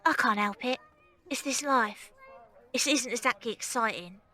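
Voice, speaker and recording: A rebellious young circus girl from Victorian times acted by a woman, with a crisp-edged bright voice pitched a little high. She is cheeky, informal and dreamy, speaking as the heroine of an audio drama. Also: moderate pace. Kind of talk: audio drama acting and first-person narration.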